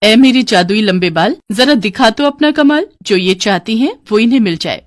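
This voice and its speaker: A woman's voice: on the high side, expressive, normal speed, noisy background, pitched above the performer's own voice, natural voice. A woman voicing a kind, earnest young heroine of a fairy tale in an eager, girlish manner. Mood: commanding.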